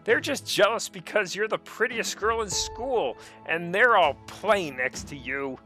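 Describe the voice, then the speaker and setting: A high-pitched, gushing goth schoolgirl, played by a man, friendly and girlish, in a comic fan fiction reading. Music plays behind the voice. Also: a little slow, pushed and bright. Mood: gossipy, indignant.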